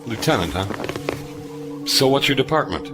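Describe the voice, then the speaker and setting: A man playing a gruff, skeptical veteran starship officer in a sci-fi audio drama, with a dry, probing manner. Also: medium pitch, animated, measured pace, music in the background, lightly acted. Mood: grumpy, skeptical.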